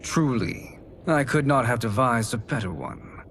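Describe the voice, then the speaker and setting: An actor playing a sly, haughty sorcerer in a video game, with ornate, mock-polite phrasing. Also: male voice, medium pitch, expressive, a little slow, a bit bright, a little hiss or hum. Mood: flattering. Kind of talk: video game cutscene acting.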